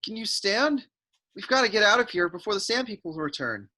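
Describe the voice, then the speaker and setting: A woman playing an earnest, eager young farm boy in an expressive script reading. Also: medium pitch, brisk. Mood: urgent, concerned. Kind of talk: script table read acting.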